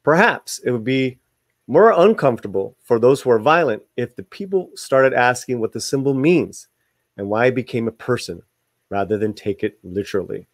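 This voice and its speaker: A man's voice: medium pitch, expressive, normal speed, no echo, clean studio sound. A man hosting a video, reading an article aloud with informal asides to viewers and some stumbles over the words. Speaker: video channel host.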